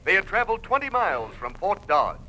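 Old timey radio announcer, florid and earnest, really sharp voice, swooping pitch. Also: male voice, sing-song, a little fast, heavily acted. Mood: grand, earnest. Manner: declamatory, florid. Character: earnest, grandiose Western radio serial announcer. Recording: noisy old-time radio broadcast recording.

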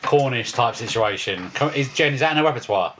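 A tipsy man telling sweary, excitable stories in loose podcast banter. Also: medium pitch, a little fast, a little hiss or hum.

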